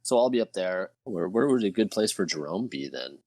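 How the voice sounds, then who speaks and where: A man's voice: low-pitched, expressive, brisk, darkish tone, dry recording, clean studio sound. A man speaking casually as a player in a tabletop game, checking details.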